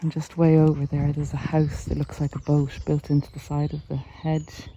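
A mellow voice gives gentle, reflective advice while walking outdoors. The delivery is even and reassuring, with unhurried pauses. There is light outdoor noise with birdsong behind it. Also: medium pitch, dull audio. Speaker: narcissistic abuse recovery coach. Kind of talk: walk-and-talk vlog, reflective advice. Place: outdoors on a country walk.

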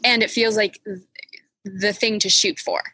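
A woman's voice: mid-range voice, fairly even intonation, moderate pace, sharp tone, slightly rough. A woman hosting a casual, reflective chat, thoughtful and searching for words. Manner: thoughtful, many fillers and restarts.

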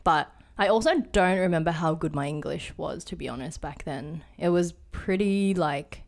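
A woman's voice: low-pitched, normal speed, vocal fry, a little mellow. A young Australian woman with an Australian accent, a podcast guest chatting casually and trailing off with fillers. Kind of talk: casual interview chat.

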